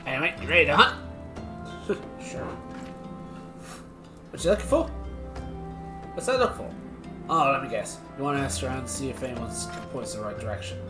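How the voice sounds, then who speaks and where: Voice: mid-range voice, lively intonation, normal speed, long pauses, over music, lightly acted. Streamer doing a drawling Australian hunter. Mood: eager. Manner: folksy drawl, slangy. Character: gruff male monster hunter from afar. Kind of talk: reading game dialogue aloud on stream. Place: home streaming setup.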